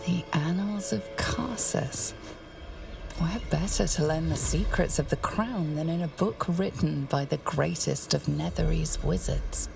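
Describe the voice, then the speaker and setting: A woman playing a scholarly magic-user keen on forbidden lore in a dramatic video game, with a gravelly voice. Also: sits low, measured pace, recorded from a distance, over music, heavily acted. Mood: intrigued.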